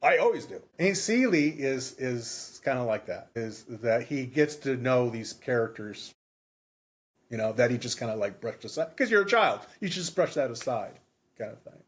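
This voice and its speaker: A man's voice: mid-range voice, expressive, moderate pace, slightly rough. Rambling, emphatic man in his fifties. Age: 50s. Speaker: podcast co-host. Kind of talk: casual book discussion.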